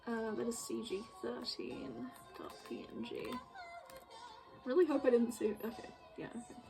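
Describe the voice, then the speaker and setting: A woman with a fairly high, animated voice chats casually while she works. She rambles in a giggly, self-deprecating way, with music playing faintly behind her. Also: normal speed, creaky, poor audio. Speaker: streamer making visual novel artwork. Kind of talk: casual chat while working.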